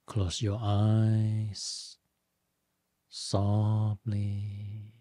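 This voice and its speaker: Casting: male meditation guide, low-pitched and very mellow, gentle short instructions, unhurried with long pauses. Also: completely flat delivery, dry recording, studio quality. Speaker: Buddhist monk, meditation teacher.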